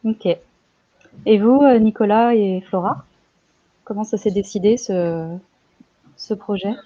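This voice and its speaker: A woman's voice: medium pitch, takes it slowly, dark tone. A woman moderating a panel discussion in an informal, conversational way. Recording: slightly muffled, dull sound.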